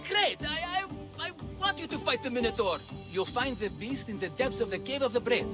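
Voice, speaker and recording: A high, piping schemer in a video game, stammering and wheedling through a salesman's patter. Game music plays loudly behind him. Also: expressive, fairly quick. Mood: nervous. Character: nervous scheming male trial organizer.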